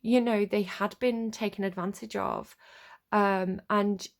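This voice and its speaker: A woman's voice: medium pitch, fairly even intonation, unhurried, vocal fry. A woman with a sniffly, stuffed-up voice giving a casual tarot reading, rambling and searching for words with many ums and likes. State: sniffly from a cold. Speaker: tarot reader.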